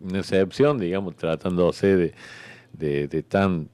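An actor playing an easygoing, evasive celestial clerk in a comic radio play, rambling and hesitant, with a colloquial Argentine accent. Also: male voice, sits low, fairly even intonation, a little slow, a little mellow, dry recording.

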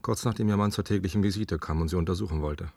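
A male hospital doctor in a radio drama. His voice is deep and husky, recorded close and dry in an intimate scene. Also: fast, a little mellow, no room sound. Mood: shocked, grave. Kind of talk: German radio play acting.

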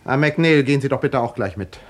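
An actor playing a grave messenger who speaks formally and with urgency in a 1950s radio play. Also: male voice, medium pitch, lively intonation, fairly quick, natural voice. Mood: urgent. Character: urgent, grave male bearer of bad news.

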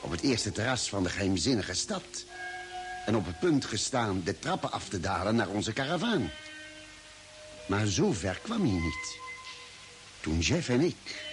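A composed expedition doctor narrates a radio play. His low voice is expressive and varied, with clear music underneath. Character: composed male ship's doctor recounting the expedition. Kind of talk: dramatic scripted narration in Dutch radio drama.

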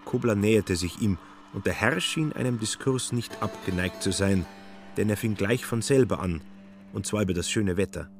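A man's voice: lowish voice, moderate pace, soft background music. A man narrating a literary radio play with scripted, storytelling delivery.

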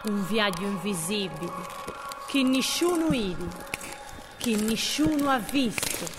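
A woman's voice: medium pitch, lively intonation, background noise. A woman playing a grieving, bitter mother and widow in an audio drama, lamenting with a Gallurese accent from northern Sardinia. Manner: lamenting, drawn-out, emotive.

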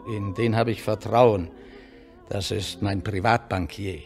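A man's voice: lowish voice, fairly even intonation, measured pace, darkish tone, soft background music. An actor in a German radio drama playing a bossy, jovially cynical Prussian statesman who talks in brusque, clipped commands and asides. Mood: bossy, impatient. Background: opera performance.

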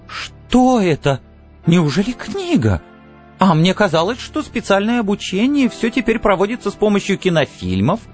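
A man's voice: sits high, big pitch swings, moderate pace, faint music behind, pitched above the performer's own voice. A man voicing a silly housewife in an audiobook, chattering and coaxing with brittle, affected cheer. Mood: needling.

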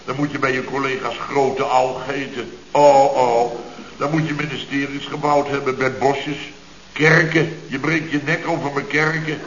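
An actor playing a blustering, sarcastic boss of a building firm who rants indignantly in a radio comedy. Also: male voice, medium pitch, flat delivery, moderate pace, a bit bright, close to an everyday voice. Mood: exasperated. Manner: ranting, indignant, exclamatory. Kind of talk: radio comedy serial acting. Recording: dull, echoey old radio recording.

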